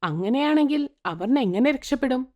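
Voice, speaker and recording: Brief: female narrator for cartoon stories, expressive, high and lively. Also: moderate pace, lo-fi sound. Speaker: storyteller. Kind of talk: scripted story narration.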